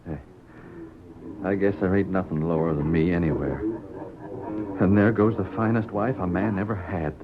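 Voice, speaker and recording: An actor playing a bitter, self-loathing cowhand with a halting, folksy American Western drawl in an old radio drama. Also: male voice, deep voice, fairly even intonation, over a noisy crowd, natural voice. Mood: glum. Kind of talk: 1940s radio Western acting. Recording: muffled old radio recording, background din.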